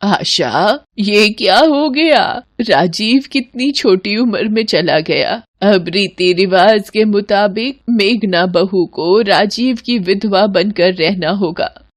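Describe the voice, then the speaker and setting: A woman acts out an elderly great-aunt in a cartoon family drama. She is stern and bound by tradition, scolding and ordering everyone about in a mid-pitched voice. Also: takes it slowly, no echo, studio quality. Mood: solemn, insistent. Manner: scolding, commanding. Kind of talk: Hindi animated family melodrama.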